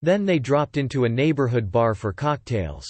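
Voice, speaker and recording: A man's voice: medium pitch, expressive, moderate pace, darkish tone, no room sound. A man reading scripted audiobook narration as the story's narrator.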